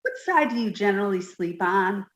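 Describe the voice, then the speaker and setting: A woman's voice: medium pitch, expressive, normal speed, reverberant, voice pushed down, close to an everyday voice. A woman voicing a childlike, dim, easily frightened young woman in a radio comedy. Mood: naive, earnest. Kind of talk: 1950s radio sitcom reading.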